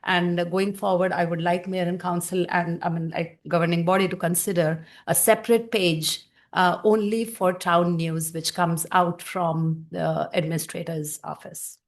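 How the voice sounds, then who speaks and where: A woman council member with fairly low pitch and a voice, making halting remarks at a public meeting. Her speech is full of pauses and restarts. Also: little pitch movement. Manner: halting, many fillers and restarts.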